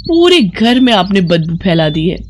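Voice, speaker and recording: A narrator voicing a bratty, short-tempered young city daughter-in-law who scolds indignantly in an animated moral story. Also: mid-range voice, a little slow, slight background noise. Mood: indignant, scolding.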